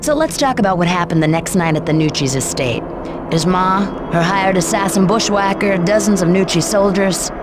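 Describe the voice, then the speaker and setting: An actress playing a stern, dryly sardonic interrogator in a video game. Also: female voice, on the low side, normal speed, background noise, close to an everyday voice. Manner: dry, pointed. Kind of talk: video game cutscene acting.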